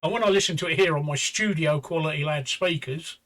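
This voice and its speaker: A bright, high-pitched man hosts a loose chat show, rambling and tripping over his words as he jokes around. His voice is light and clear, and he talks from a pub. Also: brisk. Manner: joking, rambling, stumbles on words. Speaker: podcast host. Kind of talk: casual banter.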